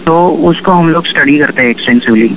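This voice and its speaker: A man's voice: fairly high pitch, fairly quick, slight background noise, poor audio. A man giving explanatory interview answers, mixing English technical terms into his Hindi. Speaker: interview guest, accessibility researcher.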